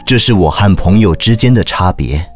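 An actor voicing an earnest, determined priest who narrates his memoir in audio drama style, measured and expressive. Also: low voice. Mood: earnest, stirred. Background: music behind the voice.

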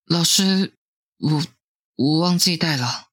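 A woman voicing a shy, timid schoolboy in a radio drama, husky and hesitant, stammering over the words. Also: deep voice, takes it slowly, a bit bright, heavily acted. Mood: sheepish.

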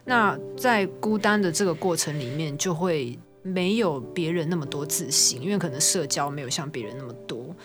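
A woman with a Taiwanese Mandarin accent answers as an interview guest. She speaks reflectively and conversationally, with music clearly playing behind her. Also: low-pitched, moderate pace, few pauses.

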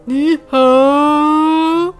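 A woman voicing a big, clumsy, mischievous male bear who speaks slowly and loudly. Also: high-pitched, steady tone, faint music behind. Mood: loud teasing. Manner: slow, loud, drawn-out words. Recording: roomy echo.

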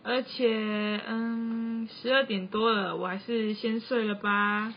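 A woman's voice: fairly high pitch, steady tone, slow. A young woman with a Taiwanese Mandarin accent playing a sensible, easygoing dorm roommate in an amateur campus radio drama. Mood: reluctant. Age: young adult. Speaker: student radio drama actor. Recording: dull sound with faint ambient noise.

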